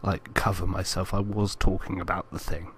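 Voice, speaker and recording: A man's voice: low-pitched, level tone, moderate pace, a little hiss or hum. A man co-hosting a casual film podcast, teasing and posing questions in a mock-serious way. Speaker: podcast co-host. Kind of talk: casual chat about films.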